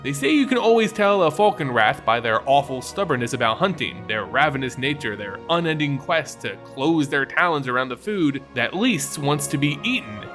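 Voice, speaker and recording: A man's voice: a little high, sing-song, normal speed, hardly any pauses, fairly bright tone. A man hosting and narrating fantasy lore in a theatrical, dramatic reading style, as a storyteller. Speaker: channel host and narrator. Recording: music behind the voice. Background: music.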